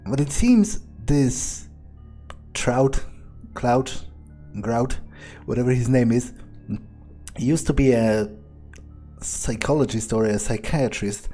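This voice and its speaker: Slow, mellow man musing through a game. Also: mid-range voice, soft background music. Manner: musing, sardonic, profane. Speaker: video game player, roleplaying in character. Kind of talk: in-character playthrough commentary, thinking aloud.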